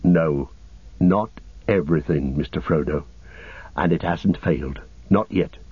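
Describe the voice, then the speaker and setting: A man's voice: extremely low pitch, unhurried, husky, no echo, narrow, tinny audio, pitched below the performer's own voice, heavily acted. A man voicing a loyal, humble hobbit servant in an audiobook, plain-spoken and deferential, with homely rustic phrasing. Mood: gentle, reluctant.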